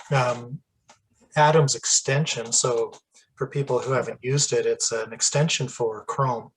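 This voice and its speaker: Chatty, husky host man. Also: medium pitch, takes it slowly, poor audio. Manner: chatty, rambling, with asides and throat clearing. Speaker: podcast host. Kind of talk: casual interview chat.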